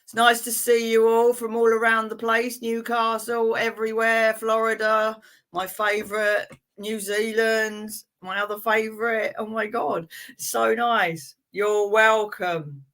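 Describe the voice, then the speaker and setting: A woman's voice: a little high, little pitch movement, measured pace, a bit bright. A woman hosting a live tarot reading stream, chatting in a friendly way with viewers and reading cards for them, with frequent fillers and laughs.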